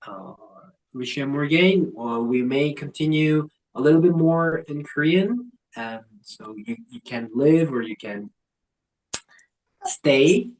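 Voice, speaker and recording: A man's voice: on the high side, animated, a little mellow, poor audio. A man hosting an online group call and interpreting between speakers. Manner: brisk, relays others' words. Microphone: video call.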